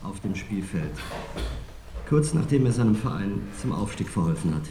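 Mellow, echoey, sombre club official. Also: male voice, on the low side, very animated, moderate pace. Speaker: club official opening a press conference. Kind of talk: formal press statement in TV drama. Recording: echoey conference room, faint noise.

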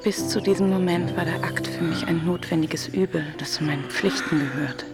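An actress playing a battered wife near forty, secretly in love, intimate and confessional in a radio drama. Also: female voice, fairly low pitch, moderate pace, lightly acted. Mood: reflective, intimate. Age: around forty. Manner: intimate, confessional, emotionally charged. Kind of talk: German literary radio drama acting. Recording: music behind the voice.

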